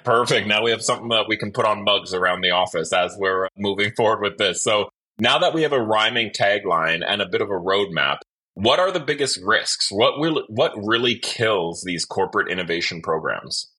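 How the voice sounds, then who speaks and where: A man hosting a podcast interview in a conversational, measured and thoughtful way. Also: on the low side, expressive, bright, forceful tone, slightly rough.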